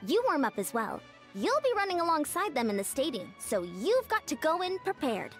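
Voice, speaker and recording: A woman voicing a brisk, dutiful female athletics coach in a video game, matter-of-fact and instructing. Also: sits high, sing-song, soft background music.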